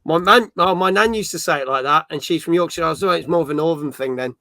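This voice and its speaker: A middle-aged man hosts a casual gaming chat, rambling cheerfully and reading out viewers' messages. His voice is slightly high and very bright. The sound is dry and close. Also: fast talker, no echo. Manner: rambling, upbeat. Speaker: host.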